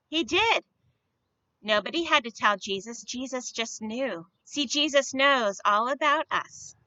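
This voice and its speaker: High, very bright woman reading to children. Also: no echo, muffled recording. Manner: simple, deliberate, expressive phrasing with questions to children. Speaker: children's storyteller at a church. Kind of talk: read-aloud storytelling for young children.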